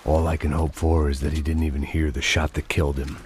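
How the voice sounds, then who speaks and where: A man playing a world-weary, cynical American antihero, narrating a noir video game in a deadpan, sardonic way. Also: a bass voice, brisk, slight background noise. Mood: wry, brooding. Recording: music behind the voice.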